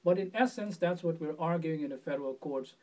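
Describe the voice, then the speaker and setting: A man from Guyana answering interview questions as a presidential candidate, in an earnest, measured way with small restarts. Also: a little high, dark tone, far from the mic, poor audio.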